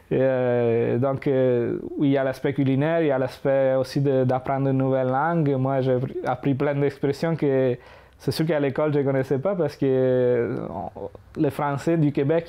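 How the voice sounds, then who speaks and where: Italian-accented man, 30s, smooth warm tone, hesitant interview talk. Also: medium pitch. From Italy. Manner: hesitant, frequent fillers. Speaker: immigrant interviewee.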